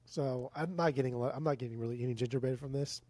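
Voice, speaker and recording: A man's voice: mid-range voice, fast talker, darkish tone, dry recording. A man with a stuffed-up nose co-hosting a casual podcast, rambling and swearing freely. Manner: rambling, swears a lot, mock-angry joking. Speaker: podcast co-host and record store owner. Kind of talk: casual chat. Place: room at home. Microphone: desk microphone on a mixer.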